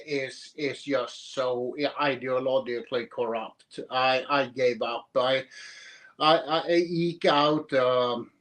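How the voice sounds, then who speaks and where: Man in his 50s, podcast guest; slow, halting delivery, repeats words. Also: mid-range voice, a bit bright. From Sweden. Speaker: guest author. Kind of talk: podcast interview.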